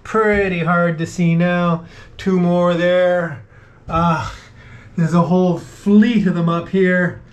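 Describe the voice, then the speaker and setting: A middle-aged man casually talking through his hands-on work to the camera, with halting pauses as he goes. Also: high voice, fairly even intonation. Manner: talks haltingly while working, with pauses. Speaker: vlog host, boat restorer. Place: inside a wooden boat in a shed and at its workbench. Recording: noisy workshop recording with clicks.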